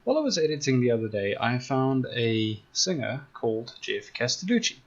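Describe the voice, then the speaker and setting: A man's voice: medium pitch, very animated, moderate pace. A man putting on a hyped fake-American YouTuber voice. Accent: forced put-on American attempt. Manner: forced, overdone hype delivery. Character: eager young male YouTuber intro voice. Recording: dull cheap webcam audio.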